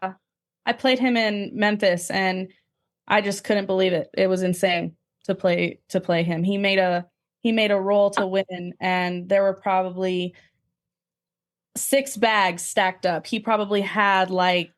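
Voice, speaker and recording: A woman's voice: mid-range voice, fairly even intonation, a little slow. A woman chatting casually as a guest, thoughtful and explanatory in how she talks. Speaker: podcast guest, amateur cornhole player. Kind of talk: casual chat.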